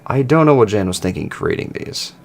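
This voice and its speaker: A man hosting a livestream, reading web fiction aloud with casual commentary, thinking out loud as he goes. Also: fairly low pitch, sing-song, brisk, creaky. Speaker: livestream host.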